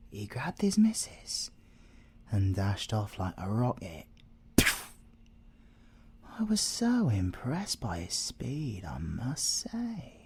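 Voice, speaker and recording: A British man playing a cocky, flirtatious male siren in an ASMR audio roleplay, breathy and teasing, often slipping into whispers. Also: medium pitch, dark tone, full character voice. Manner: teasing, theatrical, drops into whispers.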